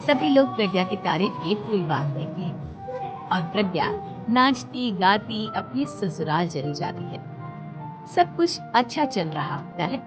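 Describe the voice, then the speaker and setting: A woman's voice: medium pitch, very animated, a little slow. A woman narrating a scripted story as a storyteller. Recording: dull audio under loud music.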